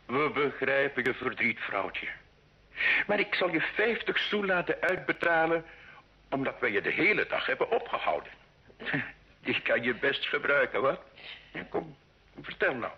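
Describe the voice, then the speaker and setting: An actor playing a jovial, scheming medieval duke in a radio play, patronising and theatrical, with a gravelly, husky voice. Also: male voice, medium pitch, big pitch swings, unhurried, pauses often, exaggerated voice. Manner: theatrical, patronising, sprinkled with French phrases. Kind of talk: Dutch-language radio play acting. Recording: dull, lo-fi old radio recording.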